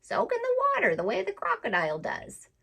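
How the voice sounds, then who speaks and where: Woman doing a shrill mocking parrot. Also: wildly swooping pitch, a little fast, creaky, heavily acted. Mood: teasing. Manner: shrill, teasing, loud. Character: mocking saucy male parrot.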